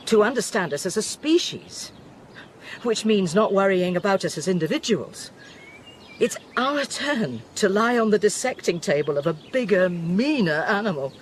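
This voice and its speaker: Wry, animated woman investigator, acted. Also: mid-range voice, measured pace, long pauses, slight background noise, lightly acted. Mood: wry, reflective. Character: wry, pragmatic female investigator, steadfast under threat. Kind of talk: radio drama acting.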